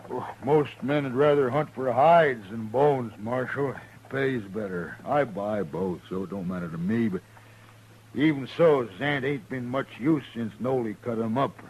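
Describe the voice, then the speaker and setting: An actor playing a grumbling, insistent frontier hide dealer in a radio western, with a folksy rural American accent. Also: male voice, medium pitch, measured pace, a little mellow, natural voice. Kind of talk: 1950s radio western acting. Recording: lightly noisy old radio recording.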